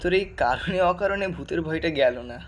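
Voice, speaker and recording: A man's voice: a little high, expressive, moderate pace, a little hiss or hum, natural voice. A man playing an ailing young man in an audio drama, an old friend speaking intimately and plaintively in a cracked voice. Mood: soft, wistful. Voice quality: broken, cracked voice.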